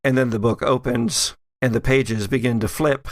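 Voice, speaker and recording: A man narrating a horror-and-humor tabletop game as game master, in measured, suspenseful storytelling with pauses. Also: mid-range voice, steady tone, no room sound. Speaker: game master of an actual-play podcast.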